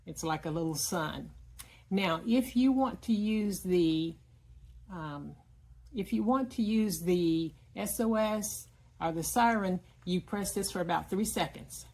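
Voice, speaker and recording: A woman giving a casual, explanatory product demonstration in plain words, often pausing mid-sentence. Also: fairly low pitch, a little mellow. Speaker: product reviewer.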